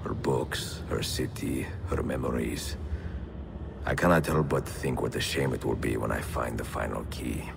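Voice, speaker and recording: An actor playing a composed, middle-aged Italian master assassin in a video game, speaking in a measured, formal way with an Italian accent. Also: male voice, extremely low pitch, steady tone, gravelly, noisy background, heavily acted. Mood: wistful. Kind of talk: video game cutscene acting.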